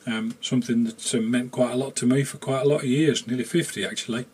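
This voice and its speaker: A middle-aged man with a slightly husky voice chats casually and hesitantly as he presents his electronics hobby in an unscripted way. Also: mid-range voice, fairly even intonation, fast, background noise. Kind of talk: casual demonstration and chat to camera. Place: small home workshop indoors.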